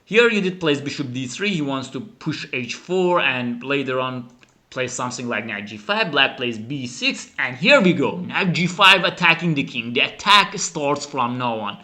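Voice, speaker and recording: A man who is a non-native English speaker gives animated, emphatic chess commentary as a teacher, dramatizing the game. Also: mid-range voice, moderate pace. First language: not English. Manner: dramatic, emphatic, acts out the players' thoughts. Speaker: chess teacher and channel host.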